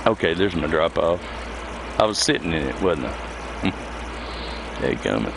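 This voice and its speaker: A man's voice: deep voice, sing-song, a little slow, gravelly. A man chatting casually over gameplay in a folksy, rambling way. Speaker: game streamer, host. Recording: loud vehicle engine noise behind voice. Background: idling truck engine, game vehicle sounds.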